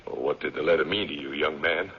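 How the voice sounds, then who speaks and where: A suspicious old patriarch of the mountains, questions with stern, deliberate command. His voice is deep and creaky, heard on a muffled old radio drama recording with clear hiss and noise. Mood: stern, interrogating.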